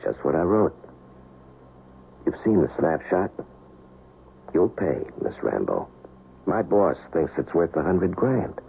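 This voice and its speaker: A man's voice: low voice, big pitch swings, slow pace, vocal fry, long pauses, full character voice. An actor playing a menacing blackmailer's henchman in a radio drama, coolly threatening. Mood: cold menace. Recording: muffled, tinny old radio recording, faint noise.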